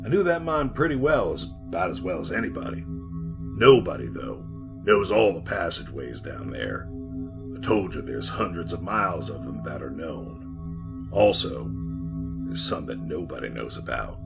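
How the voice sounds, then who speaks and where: An actor playing a folksy mine guide with a dark tale, in the style of 1940s radio drama. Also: male voice, low voice, sing-song, moderate pace, creaky, music in the background, full character voice. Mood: brooding, confiding. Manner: folksy, colloquial storytelling. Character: mine guide recalling a dreadful past, brooding and cocky.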